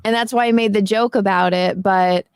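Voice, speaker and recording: Woman in her 20s, chatty storyteller, dry close mic, mid pitch. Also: steady tone, normal speed, clear, resonant tone, dry recording. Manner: chatty storyteller, quotes others, laughs often. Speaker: guest. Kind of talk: casual panel chat.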